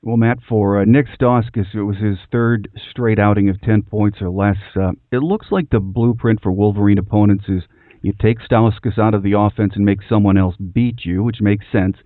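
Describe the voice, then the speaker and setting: A man hosting a sports podcast, talking in a measured, announcer-like way as he presents the show and puts questions to a guest. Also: fairly low pitch, fairly even intonation, no room sound, phone-quality sound. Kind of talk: scripted show introduction, then interview questions.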